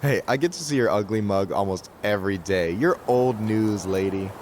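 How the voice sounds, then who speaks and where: A man playing a joking, warm-hearted young friend in a video game, bantering in a natural, playful way. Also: lowish voice, normal speed, close to an everyday voice. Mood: playful teasing. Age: young adult. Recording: faint outdoor ambient noise behind.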